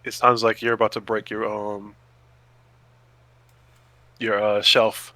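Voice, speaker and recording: A man's voice: fairly low pitch, monotone, slow, bright, forceful tone, no room sound. A man co-hosting a podcast in casual chat, reacting and interjecting with short exclamations. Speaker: podcast co-host.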